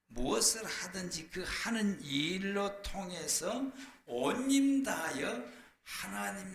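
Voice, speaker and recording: Hoarse, gravelly man preaching. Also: fairly high pitch. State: hoarse from a cold. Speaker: pastor preaching. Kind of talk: Protestant church sermon. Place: church, speaking to a congregation. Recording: echoey room with faint background noise.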